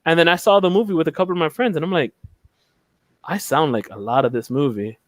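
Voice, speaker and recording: A young man from Philadelphia talks on a headset mic from a room at home, his voice sitting a little high for a man. He rambles and circles back, restarting sentences as he goes. The sound is dry and close. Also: quick pace, no echo. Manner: rambling, loses his train of thought. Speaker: panel guest. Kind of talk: casual video call chat. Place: home room on a video call.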